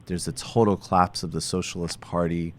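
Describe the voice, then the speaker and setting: A male host with a very low voice leads a political interview. He asks long, rambling questions in an animated, sardonic tone. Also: a little fast, a little hiss or hum.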